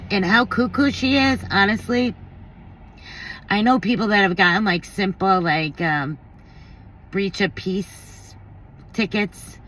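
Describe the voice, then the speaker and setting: A woman's voice: lowish voice, a bit bright, rough recording. A woman giving casual opinion commentary, thinking aloud in a blunt, informal, slangy way. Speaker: commentary vlogger.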